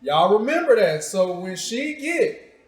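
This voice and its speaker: A man's voice: sits high, big pitch swings, measured pace. A man hosting a casual interview show, joking and teasing in informal, slangy talk. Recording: echoey room.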